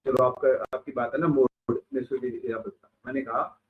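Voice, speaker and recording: A man's voice: mid-range voice, very animated, moderate pace, creaky. Man lecturing from far off in an echoey classroom. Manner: explains step by step with rhetorical questions. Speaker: instructor. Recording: echoey room, far from a phone-like mic.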